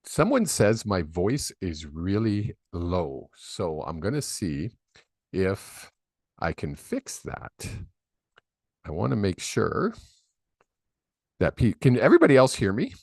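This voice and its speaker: A man's voice: lowish voice, huge pitch swings, takes it slowly, gravelly, long pauses, darkish tone, no echo, clean studio sound. A man hosting a live psychic reading stream, chatty and rambling with lots of fillers, on a desk microphone. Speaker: psychic medium host. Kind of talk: casual live-stream chat. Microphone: desk microphone on a video call.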